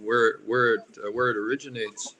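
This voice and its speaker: Older man, halting, over background noise. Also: mid-range voice, steady tone, bright, forceful tone. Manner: halting, rambling, with repeated starts and tangents. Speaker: artist and carver being interviewed. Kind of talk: casual reminiscing interview talk.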